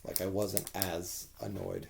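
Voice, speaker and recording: A man with a hoarse voice giving a rambling, candid spoken TV review, with plenty of fillers and the odd curse. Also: low-pitched, little pitch movement, takes it slowly, a little mellow, a little hiss or hum.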